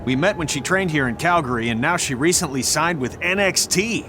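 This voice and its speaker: A man's voice: on the high side, lively intonation, a little fast, noisy background, natural voice. A man playing a dry, teasing wrestling trainer in a video game cutscene. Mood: matter-of-fact.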